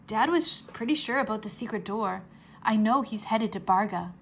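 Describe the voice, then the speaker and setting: A woman voicing an earnest, wistful, determined young boy wizard in a children's storybook, speaking in a hurried, pleading way. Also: mid-range voice, lively intonation, creaky, slight background noise.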